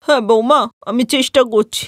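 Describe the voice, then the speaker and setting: A woman's voice: sits high, brisk, no room sound, studio quality, natural voice. A woman voicing an older, complaining mother-in-law in a moral-story cartoon, with a nagging, sighing delivery. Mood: weary, aching.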